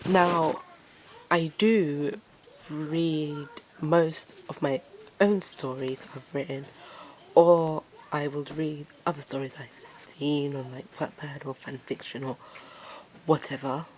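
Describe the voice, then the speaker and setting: Deep-voiced nervous woman. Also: fairly even intonation, slow pace, darkish tone, a little hiss or hum, low-quality recording. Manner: nervous, hesitant, many fillers. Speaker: story reading channel host. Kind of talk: casual self-introduction to camera.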